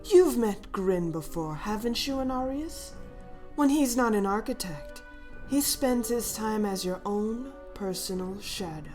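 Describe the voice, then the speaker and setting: Slow, regal, menacing dragon queen played by a woman. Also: medium pitch, animated, a little mellow, soft background music, natural voice. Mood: cold, menacing. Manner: theatrical, deliberate, mock-polite. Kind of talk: animated fantasy drama acting.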